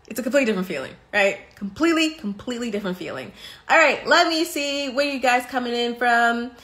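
Lively American woman on a phone live stream. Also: fairly high pitch, fast talker. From United States. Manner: animated, expressive, talks with her hands. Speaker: podcast host on a live stream. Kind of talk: casual live-stream chat. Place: bright living room indoors. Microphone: phone held close.

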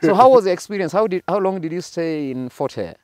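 A man's voice: lively intonation, fast talker, darkish tone, no echo. A young man hosting an interview, friendly and full of short okays and murmurs of agreement. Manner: friendly, many short okays and mm-hmms, drops low on backchannels. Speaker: talk show host. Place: outdoor garden set, seated. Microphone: lapel.

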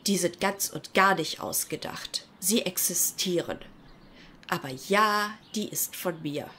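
A woman's voice: on the low side, measured pace, pushed and bright, slight background noise. A woman playing a gushing, self-righteous activist admirer in a comedy audio parody, cooing and affectedly earnest. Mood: earnest, smug. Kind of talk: parody audio drama acting.

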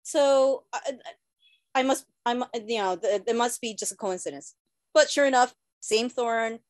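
A woman with a fairly high voice tells an anecdote over a video call. She sounds amused and laughs softly now and then. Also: unhurried. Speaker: translator panelist. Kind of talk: casual panel talk.

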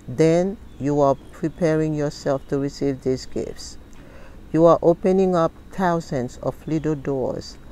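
A woman in her sixties speaking in an earnest, deliberate and sometimes halting way, recorded outdoors. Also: deep voice, mellow. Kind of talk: personal testimonial and reading aloud from a book. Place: outdoors in a leafy garden.

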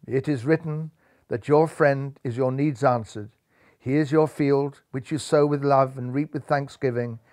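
A man voicing a wise, gentle old maharaja animal in a storybook, solemn and measured. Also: mid-range voice, no room sound, studio quality, natural voice.

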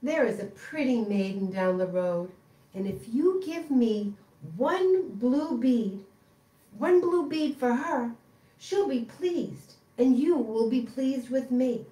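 Storybook fox, male, young, begging, pitched up high, put on by a woman reader. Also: expressive, slow pace, warm tone. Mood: pleading. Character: pleading young male fox who lost his tail. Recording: roomy echo, far from mic.

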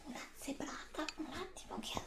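A middle-aged woman, whispering breathily in an ASMR roleplay as a gentle, attentive clothing shop assistant advising a customer. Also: high-pitched, moderate pace, reverberant. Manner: gentle, attentive sales patter to an imagined customer.

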